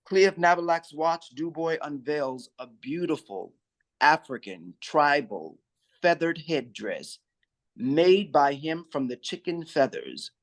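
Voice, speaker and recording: Man, stage directions reader, even measured narration, slightly high pitch. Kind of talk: reading stage directions aloud.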